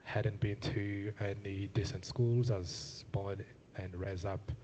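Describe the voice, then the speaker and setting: A man's voice: on the low side, fairly even intonation, takes it slowly, mellow, slight background noise, low-quality recording. A young man from Zimbabwe speaking on a panel, earnest and hesitant with many filler sounds. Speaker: community panelist. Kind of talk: panel talk.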